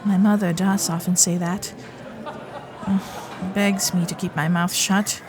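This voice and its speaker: Casting: actress as young Gilded Age heiress, sweetly awkward, formal, babbling, unhurried delivery, music underneath. Romantic audio drama. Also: female voice, fairly low pitch, little pitch movement, crowd noise, lightly acted. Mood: candid, wistful. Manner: flustered, hesitant, babbling, genteel and formal. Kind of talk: romantic period audio roleplay, spoken intimately to listener.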